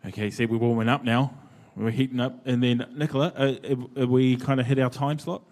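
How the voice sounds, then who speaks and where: A New Zealand man chairs a conference panel, loose and conversational, filling his pauses with ums and uhs. His voice sits at an ordinary middle pitch. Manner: relaxed, chatty, filler-heavy. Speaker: panel moderator. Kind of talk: live panel discussion. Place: conference venue.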